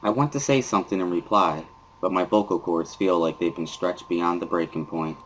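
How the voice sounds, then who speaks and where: A man's voice: low-pitched, normal speed, poor audio. A man reading a story aloud as a dramatic first-person narrator. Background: guitar music.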